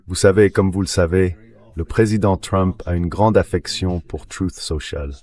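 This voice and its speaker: A man with a deep, very low synthetic voice speaks as an interview guest. He gives political analysis in a translated, dubbed delivery. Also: fairly even intonation, moderate pace, darkish tone.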